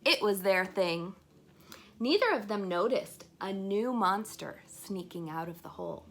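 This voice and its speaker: A woman reading a picture-filled chapter book aloud to young children, expressive and pausing to put questions to her listeners. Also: mid-range voice.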